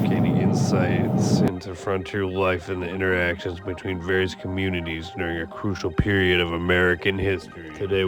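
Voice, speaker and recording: A man with a hoarse, raspy voice narrating a scripted park-trail video as a voice-over. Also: sits low. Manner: reads a scripted nature guide in an even tone. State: hoarse from yelling. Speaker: vlogger.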